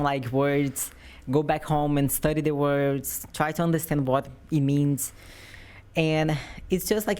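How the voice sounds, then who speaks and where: A woman's voice: low voice, darkish tone. A woman in her twenties with a Brazilian Portuguese accent, a native Portuguese speaker who grew up in Brazil, chatting as an interview guest, friendly and giggly, sometimes searching for words. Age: 20s. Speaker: guest athlete. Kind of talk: casual interview.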